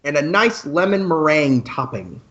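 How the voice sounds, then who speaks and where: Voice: medium pitch, lively intonation, moderate pace, a bit bright, muffled recording. An actor playing a wisecracking, teasing teenage student radio co-host in an amateur radio play, with playful mock-formality.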